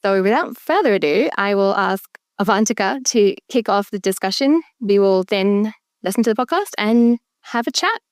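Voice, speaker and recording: A woman's voice: mid-range voice, lively intonation, no room sound, clean studio sound. Upbeat young woman hosting a podcast. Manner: upbeat, brisk introductions. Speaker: host.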